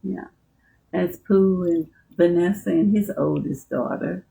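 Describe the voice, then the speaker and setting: A woman in her seventies from Texas, answering interview questions at home in a soft-spoken way, with brief replies. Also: lowish voice, unhurried, creaky, poor audio. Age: 70s. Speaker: interview guest. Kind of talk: casual conversation at home. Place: living room of her home.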